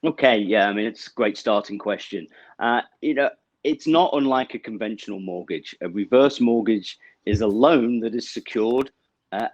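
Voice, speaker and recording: British guy explaining stuff on a video call, lowish voice, kinda muffled dry audio. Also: darkish tone, no echo. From United Kingdom. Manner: measured, explains step by step with frequent fillers. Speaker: bank representative guest. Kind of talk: explanatory interview answers. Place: office with banner backdrop, indoors.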